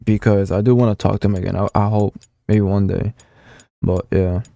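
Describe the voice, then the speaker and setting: A man's voice: fairly low pitch, little pitch movement, moderate pace, creaky, dark tone, no room sound. A young man of about nineteen, rambling casually with lots of fillers and the odd swear as he looks back through old console messages. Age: young adult. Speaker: gaming vlogger. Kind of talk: casual reminiscing commentary.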